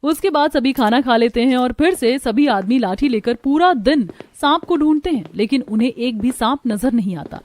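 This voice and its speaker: A woman's voice: high voice, animated, normal speed, few pauses, darkish tone. A woman narrator telling a scripted cartoon story.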